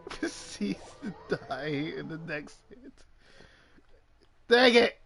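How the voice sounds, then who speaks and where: A man's voice: on the high side, very animated, drawn-out words, a bit bright. A man giving casual gameplay commentary with playful, mock-dramatic asides. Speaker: gaming video host. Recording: clearly noisy home recording.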